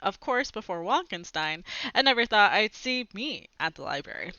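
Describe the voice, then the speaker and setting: A young woman reads a children's book aloud with very lively, swooping intonation. Her voice is bright, and she phrases each line clearly. It is a close, dry headset recording with a slightly dull finish. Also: medium pitch, moderate pace, no echo. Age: young adult. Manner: animated, clearly phrased storytelling. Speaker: read-aloud storyteller. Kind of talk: expressive children's book read-aloud with brief asides. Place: small home room with bookshelves, indoors. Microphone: headset microphone.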